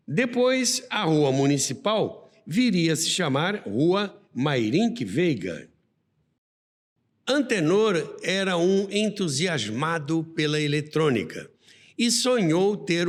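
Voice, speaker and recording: A man with a Brazilian accent hosting a podcast, telling history in an expressive, didactic, conversational way. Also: a little high. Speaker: podcast host. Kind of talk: conversational storytelling about radio history. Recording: long reverberant hall-like echo.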